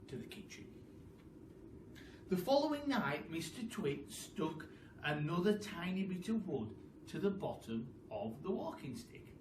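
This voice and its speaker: A man telling a children's story expressively, like a teacher, with dramatic, deliberate pauses and emphasis. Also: a little high. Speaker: teacher reading a story to pupils. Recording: roomy, distant, with noise.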